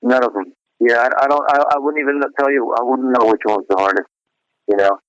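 A man's voice: medium pitch, fairly quick, fairly bright tone, dry recording. A middle-aged male singer being interviewed, chatting casually and rambling with lots of "you know" fillers and restarts. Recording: dry, dull lo-fi radio sound.

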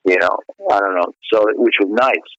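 A middle-aged man talks casually about his singing, rambling and circling back with "you know" after nearly every phrase. His voice sits fairly low. The sound is dry and a little dull, like a lo-fi radio recording. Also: moderate pace, sharp tone, no room sound. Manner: rambling, full of "you know" fillers and restarts. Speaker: singer being interviewed. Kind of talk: casual interview chat.

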